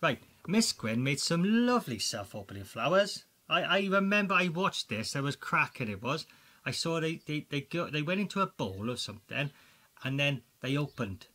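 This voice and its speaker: A man with a Welsh accent presents a school quiz for children in a chatty, excitable way, full of jokey asides. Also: fairly high pitch, moderate pace. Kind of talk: playful quiz commentary for children.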